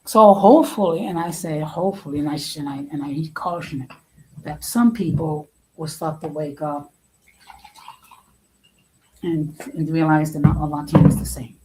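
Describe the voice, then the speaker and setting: Woman host just talking opinions, low-ish mellow voice, takes it real slow, repeats herself for emphasis. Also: poor audio. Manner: halting, deliberate, emphatic, repeats phrases. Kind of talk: informal opinion talk to an audience.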